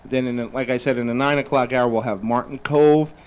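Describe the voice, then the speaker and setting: A man's voice: mid-range voice, fairly even intonation, brisk, clean tone. A man hosting a live talk radio show, chatting loosely and reading sponsor plugs with lots of fillers. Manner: rambling, filler-heavy, upbeat plugs. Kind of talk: casual radio chat with sponsor reads.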